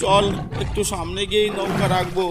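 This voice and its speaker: Man acting a high-voiced, scolding cartoon father. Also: level tone, brisk, far from the mic, heavy background noise, lightly acted. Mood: uneasy, cautioning. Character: worried father, poor crab catcher in the jungle. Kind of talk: cartoon story dialogue.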